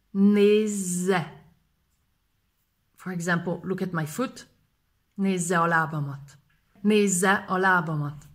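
A woman in her thirties teaching a language lesson to camera, repeating each phrase slowly and clearly, filmed close up indoors. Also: on the low side, fairly bright tone. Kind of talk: slow vocabulary lesson. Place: indoors in front of a plain gray wall. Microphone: close camera framing, face fills frame.

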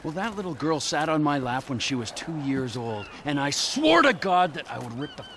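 An actor playing an unhinged, vengeful middle-aged criminal in a video game, foul-mouthed, sneering and menacing. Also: male voice, fairly high pitch, big pitch swings, moderate pace, fairly bright tone. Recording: game engine and music noise behind.

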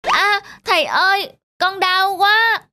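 A woman's voice: extremely high pitch, slow, bright, forceful tone, heavily acted. A woman voicing a small, young, impatient monkey apprentice wizard in a cartoon, whining and pleading. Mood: tired, pained. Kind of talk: cartoon fable voice acting.

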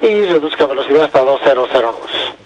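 A man's voice: medium pitch, lively intonation, fast, lo-fi sound. A man with a Mexican accent working as an air traffic controller, speaking clipped phraseology over aviation radio.

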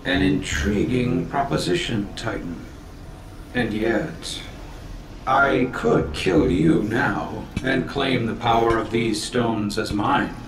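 Robot overlord, creaky, slow, echoing, smug, cold, condescending, game cutscene, music behind. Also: male voice, medium pitch. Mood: smug, threatening. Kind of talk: dramatic video game villain acting. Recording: echoing voice, dramatic score behind.

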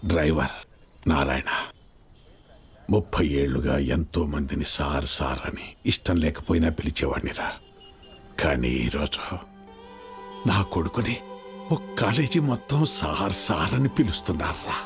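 An actor playing a proud, sentimental older father, a veteran driver, in an emotional drawn-out manner in a film. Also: very deep voice, faint music behind. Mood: moved, proud. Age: 50s. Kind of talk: film drama dialogue.

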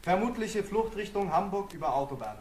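A man's voice: high-pitched, lively intonation, brisk, darkish tone, a little hiss or hum. A man playing a formal police announcer reading out an alert in a radio play. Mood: official. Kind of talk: police bulletin read-out. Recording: echoing old radio-play recording.

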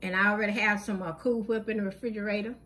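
A woman's voice: mid-range voice, fairly even intonation, a bit bright, slight background noise. Woman casually listing groceries. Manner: plain, unhurried listing with many fillers. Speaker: channel host. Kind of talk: casual grocery haul narration.

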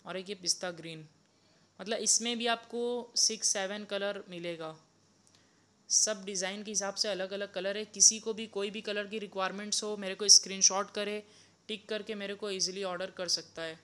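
A young man talks through a shop's saree stock in a sales showcase, his voice bright and smooth. His delivery stays flat and even as he repeats the same phrases item after item. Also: high voice, moderate pace. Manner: repetitive, steady walkthrough of each item. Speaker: saree seller. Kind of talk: product showcase sales pitch. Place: indoor saree shop.

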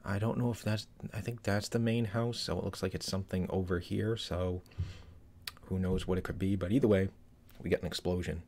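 A man's voice: deep voice, steady tone, fairly quick, a little mellow, slight background noise. A man in his thirties giving casual, rambling commentary on a trailer from a small room, with lots of fillers and restarts. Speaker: YouTube trailer reviewer.

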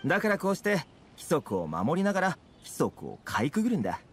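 A man's voice: fairly high pitch, huge pitch swings, brisk, a little hiss or hum. An actor voicing a friendly, easygoing young male trader and fixer in an anime game, with a casual, affable manner. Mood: reassuring, easygoing. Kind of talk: anime video game voice acting.

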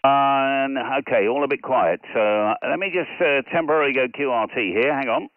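Middle-aged male ham operator on a headset mic, everyday mid voice, brisk on call signs. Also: medium pitch, phone-quality sound, a little hiss or hum. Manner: calls out phonetic call signs loudly, then chats to himself. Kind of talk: live radio contacts and casual commentary. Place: home radio shack.

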